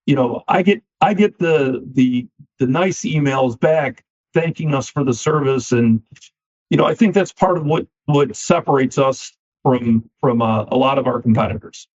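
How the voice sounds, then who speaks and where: A man, a finance company CEO, answering interview questions with a slightly scratchy voice, measured and often repeating words as he starts a thought. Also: mid-range voice, a little mellow. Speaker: equipment finance CEO, guest. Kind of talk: business podcast interview. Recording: dull lo-fi sound.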